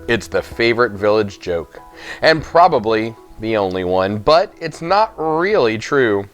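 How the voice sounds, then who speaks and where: A man giving an expressive, dramatic children's audiobook narration, with emphatic pauses. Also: fairly low pitch, faint music behind. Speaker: story reader.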